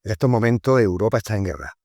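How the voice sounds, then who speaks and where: A grave father figure from a film drama, played by a man, speaking in a low, weighty voice. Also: lively intonation, very fast, darkish tone, dry recording, clean studio sound, close to an everyday voice. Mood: solemn. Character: grave father addressing his family. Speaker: film character.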